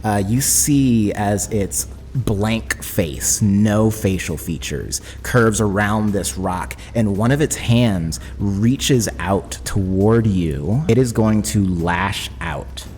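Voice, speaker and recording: A man narrating a tabletop game as game master, in halting, suspenseful descriptions. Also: lowish voice, darkish tone, a little hiss or hum. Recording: long hall reverb, background music. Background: music.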